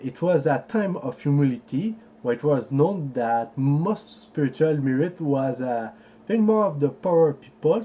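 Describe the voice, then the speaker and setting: A man, an English speaker, hosting an informal history explainer in a halting, digressive way as he searches for words. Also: on the high side, warm tone, slight background noise, rough recording. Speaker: host of a history video series. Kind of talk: informal explainer with live translation from a book.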